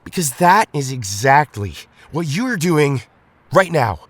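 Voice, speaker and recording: A man's voice: a little high, sing-song, slow pace, dry recording, heavily acted. A man playing a stern young superhero team leader in an audio drama, reproachful and emphatic toward a friend. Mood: angry, reproachful. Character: Tommy, stern young male Power Ranger leader, disappointed friend.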